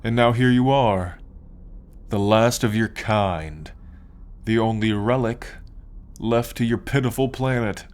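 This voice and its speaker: A man playing a smug, possessive alien captor in an ASMR roleplay, speaking in a condescending, taunting and menacing drawn-out way. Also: on the low side, fairly even intonation. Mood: smug, explanatory. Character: smug, possessive male alien abductor and collector. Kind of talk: ASMR roleplay, slow dramatic monologue.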